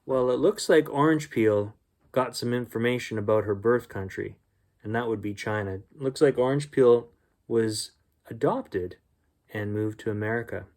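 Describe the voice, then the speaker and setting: A man with a soft-edged, mellow tone reads a bedtime story for children. His delivery is gentle and unpolished, moving between storybook reading and informal talk to his young listeners. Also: mid-range voice, normal speed. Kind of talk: storybook read-aloud with casual asides.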